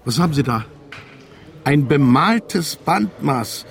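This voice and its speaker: An actor in a radio drama playing a stern, patronizing East German political officer with a gravelly, officious delivery. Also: male voice, a little high, expressive, slow pace, soft background music, exaggerated voice. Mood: scolding.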